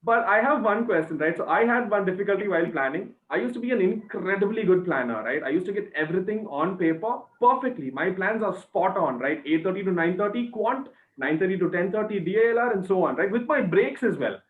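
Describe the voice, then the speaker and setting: High-voiced young Indian man, thin echoey line. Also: lively intonation, a little fast, few pauses. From Chennai, India. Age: 20s. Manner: often ends points with questioning tags. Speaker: student panel host. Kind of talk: casual moderated discussion. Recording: echoey, thin phone-like video-call sound.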